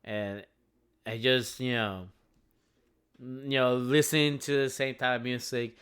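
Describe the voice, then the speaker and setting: Young male co-host from Venezuela; bright, edgy tone; reflective, halting casual talk. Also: mid-range voice, fairly even intonation, clean tone. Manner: hesitant, restarts often, reflective. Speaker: podcast co-host. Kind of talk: casual chat.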